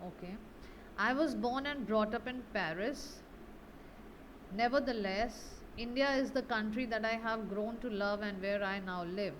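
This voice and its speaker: Casting: female grammar tutor, mid-pitched, didactic, walks through answers and checks understanding, some background noise. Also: measured pace, poor audio. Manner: didactic, often ends points with a checking tag question. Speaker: English grammar teacher. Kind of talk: explaining grammar exercise answers.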